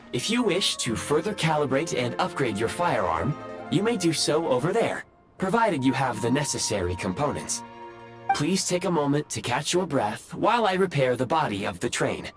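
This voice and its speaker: Formal, expressive robot conductor, played by a man. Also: medium pitch, close to an everyday voice. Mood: polite, advising. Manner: formal, measured, precise wording. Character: polite, composed robotic train conductor and helpful guide. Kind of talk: video game voice acting. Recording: music behind.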